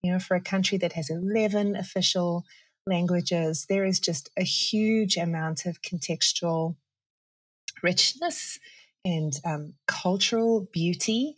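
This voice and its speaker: A woman's voice: on the low side, measured pace, slightly rough, no room sound. A woman in her forties from South Africa with a South African accent, a podcast guest talking thoughtfully and laughing often. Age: 40s. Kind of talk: conversational interview.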